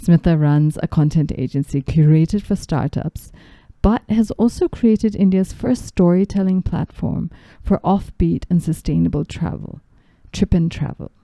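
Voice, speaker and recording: A podcast host who grew up in Zambia reads her introductions and memories from a script at a calm, steady pace. Her voice is very low and gravelly, with a deep, dark tone. The sound is close and completely dry. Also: measured pace, no echo. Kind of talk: scripted narration.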